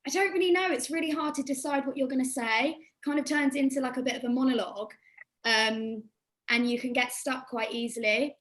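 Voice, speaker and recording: A woman's voice: high-pitched, steady tone, brisk, fairly bright tone. A young woman from England, chatty and self-deprecating as she takes part in an acting workshop over a video call. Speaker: workshop participant. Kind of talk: casual chat.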